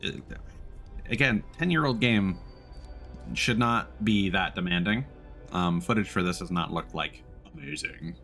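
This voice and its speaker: A man with a low voice talks casually to his live-stream audience while playing a game. His delivery is conversational and unscripted, and music plays softly in the background. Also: a little slow. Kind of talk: casual live-stream chat with gameplay commentary.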